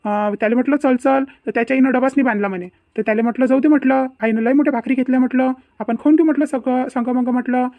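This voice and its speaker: A woman voicing an excitable village youth in a cartoon comedy, full of excuses and bluster, with a Varhadi Marathi accent. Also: high voice, rapid-fire, few pauses, no echo, phone-quality sound. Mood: curious, explaining. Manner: evasive, blustering.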